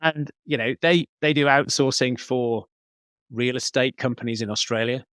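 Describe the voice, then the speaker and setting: Guy, podcast guest, explaining stuff and thinking out loud, normal pitch, no room echo. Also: moderate pace, studio quality. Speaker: podcast guest, business coach. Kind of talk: conversational interview answers.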